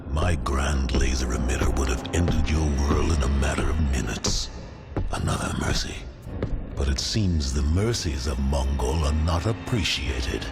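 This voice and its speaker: Giant alien tyrant, cartoon villain, super deep n slow, smug menace, loud music. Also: male voice, gravelly, full character voice. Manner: menacing, pompous. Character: huge arrogant alien warlord tyrant.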